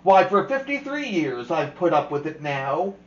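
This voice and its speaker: A man voicing a grumpy, scheming male creature from a storybook, snarling and loud, in a roomy, slightly dull home recording. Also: on the high side, very animated, normal speed.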